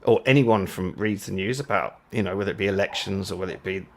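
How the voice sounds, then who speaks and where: A man's voice: low voice, quick pace, slight background noise. A man from the UK, co-hosting a casual live chat and rambling as he repeats words while searching for his point. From United Kingdom. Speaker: co-host.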